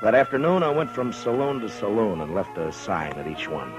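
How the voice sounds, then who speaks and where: An American man playing a seasoned, wry, steady frontier marshal in a 1950s radio western, speaking in a laconic, dry way. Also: lowish voice, brisk, over music, low-quality recording. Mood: matter-of-fact narration. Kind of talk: 1950s radio western acting and first-person narration. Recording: vintage radio drama recording.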